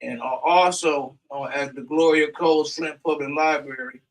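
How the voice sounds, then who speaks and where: Co-host, man: loud, emphatic, rambling, high-pitched, set back from the mic. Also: steady tone, moderate pace, recorded from a distance, low-quality recording. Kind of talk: casual community talk.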